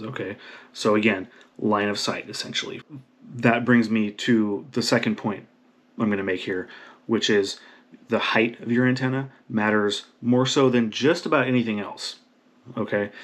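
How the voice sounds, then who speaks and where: A man hosting an informal how-to talk, speaking emphatically and often checking in with the listener. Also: fairly low pitch. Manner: emphatic, checks in with "right?" and "okay?". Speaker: video host explaining radio basics. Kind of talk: informal instructional talk to camera.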